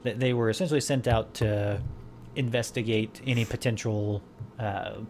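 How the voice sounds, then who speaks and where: A man's voice: on the low side, fairly even intonation, moderate pace, darkish tone, slight background noise. A man hosting a podcast in casual chat, rambling with many fillers and restarts. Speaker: podcast host.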